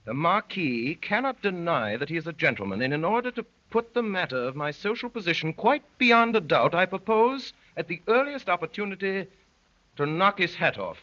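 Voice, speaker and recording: Bright, forceful young gentleman adventurer. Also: high voice, very animated, normal speed, exaggerated voice. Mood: rousing, resolute. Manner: theatrical, articulate, urgent. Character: earnest young gentleman poet turned undercover policeman, narrating his adventure. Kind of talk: 1930s radio drama acting. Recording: dull lo-fi 1930s radio recording.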